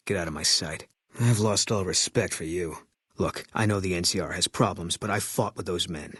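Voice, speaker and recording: An actor voicing a grim, bitter former army sniper in a video game, guarded and grieving, with terse, flat, brooding delivery. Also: male voice, low voice, breathy edge, no room sound, studio quality. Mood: cold, angry.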